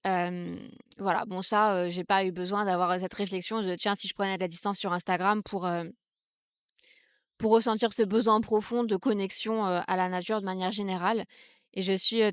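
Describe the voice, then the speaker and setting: Reflective young woman, smooth clear voice. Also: mid-range voice, no room sound, studio quality. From Brittany, France. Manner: thoughtful, hesitant, many fillers and restarts. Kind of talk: casual reflective vlog talk. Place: outdoors in the countryside, seated on a wooden stool.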